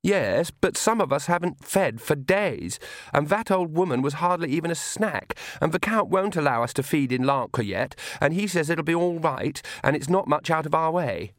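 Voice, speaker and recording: A young vampire girl, played by a male reader, pitched high, breezy and eager. The character gushes and wheedles like a storybook teenager, in a dry, close recording. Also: animated, moderate pace, no room sound, natural voice. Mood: pleading. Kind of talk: audiobook character voice.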